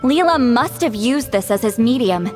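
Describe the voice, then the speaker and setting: A woman voicing a brisk, no-nonsense granny of a tribe, sharp but caring, in a video game. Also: on the high side, lively intonation, lightly acted. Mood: matter-of-fact. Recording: soft game music behind the voice.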